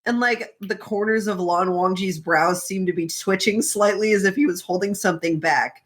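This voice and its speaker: A woman's voice: mid-range voice, normal speed, fairly bright tone. A woman hosting a casual chat podcast, retelling a story in an informal, sweary, giggly way. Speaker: podcast host.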